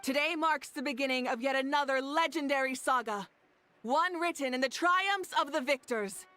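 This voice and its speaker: A woman's voice: sits high, moderate pace, pushed and bright, soft background music. A woman voicing a commanding, formal female leader in a video game. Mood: solemn, rousing. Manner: formal, oratorical. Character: commanding, rousing female leader addressing her warriors.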